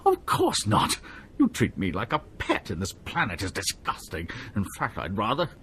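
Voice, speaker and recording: Brief: a whiny pampered game noble, very lively pitch, hesitant and rattled. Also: male voice, normal speed, slight background noise. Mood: flustered. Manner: flustered, hesitant and pleading. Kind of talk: video game cutscene acting.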